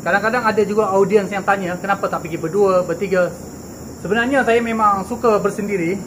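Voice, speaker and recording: Malaysian man, young adult, high voice, chatty and reassuring vlogger, noisy night outdoors with insects. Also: quick pace, fairly bright tone. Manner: chatty and reassuring, mixes in Kadazan Dusun phrases. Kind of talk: casual talk to camera.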